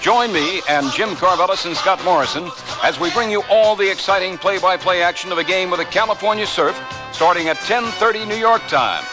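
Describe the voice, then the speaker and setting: A man's voice: sits high, a little fast, over music, poor audio. A man, a sports broadcaster, reading a scripted radio promo.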